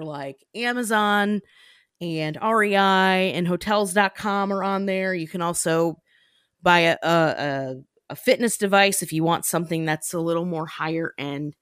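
A woman's voice: on the low side, fairly bright tone, clean tone, dry recording. Casual young woman, close dry podcast sound. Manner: slangy, playful, informal. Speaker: podcast host. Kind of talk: casual explanatory podcast chat.

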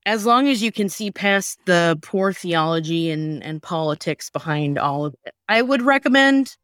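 A woman's voice: lowish voice, lively intonation, normal speed, fairly bright tone, no echo, studio quality. A woman hosting a podcast in casual chat, with a joking, tongue-in-cheek manner. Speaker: podcast host and sketch writer.

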